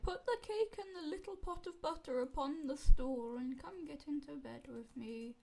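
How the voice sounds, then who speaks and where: A woman playing a gravelly wicked wolf. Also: sits high, moderate pace, darkish tone, a little hiss or hum. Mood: scheming. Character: sly hungry male wolf, wicked and scheming.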